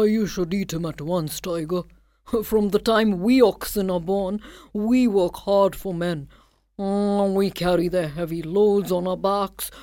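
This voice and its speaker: Weary old ox, low, grave and slow, voiced by a woman. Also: a little mellow, no room sound, clean studio sound, close to an everyday voice.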